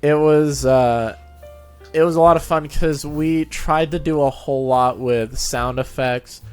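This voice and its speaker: A man chatting casually as a channel host, telling stories in an animated way and often laughing as he talks. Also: on the high side, unhurried, smooth, faint music behind. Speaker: host of a fan fiction narration channel. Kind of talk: casual chat.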